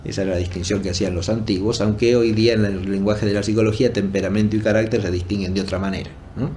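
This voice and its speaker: A man giving an unscripted academic lecture in a formal, didactic and deliberate way, rephrasing as he goes and often checking in with short tag questions. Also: fairly low pitch, fairly even intonation, mellow, a little hiss or hum. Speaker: philosophy lecturer.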